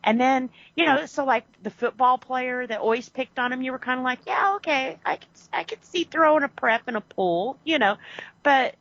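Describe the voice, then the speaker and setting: Animated woman with a lively voice. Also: high-pitched, moderate pace, dry recording. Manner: animated, laughs often, acts out quotes. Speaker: podcast co-host. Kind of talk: casual movie discussion. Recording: muffled lo-fi audio.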